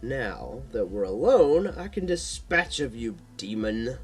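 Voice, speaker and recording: A man's voice: mid-range voice, sing-song, takes it slowly, breathy edge, faint music behind, full character voice. A man voicing a grim, protective young male hero in a supernatural story, terse and resolute. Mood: commanding.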